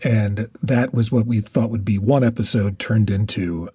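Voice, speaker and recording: A man co-hosting a podcast, talking casually about books in a halting way, repeating words as he thinks. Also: fairly low pitch, fairly even intonation, mellow, slightly rough, no room sound. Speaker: podcast co-host. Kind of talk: casual book discussion.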